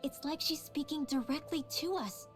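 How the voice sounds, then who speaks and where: Higher-voiced, inquisitive fantasy heroine, acted by a woman. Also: moderate pace, soft background music, lightly acted. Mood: curious, wondering. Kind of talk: dramatic video game dialogue.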